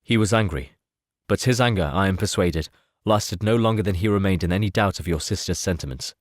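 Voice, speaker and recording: A man reading the part of a composed, self-assured gentleman in an audiobook, measured and formal. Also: low-pitched, no room sound, clean studio sound, natural voice. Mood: candid, composed. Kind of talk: audiobook character dialogue.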